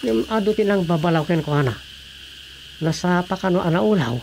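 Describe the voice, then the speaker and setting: A man's voice: high voice, fairly quick, creaky, a little mellow, a little hiss or hum. A man playing an opinionated grumbler in an Ilocano radio drama, with a Filipino accent. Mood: grumbling.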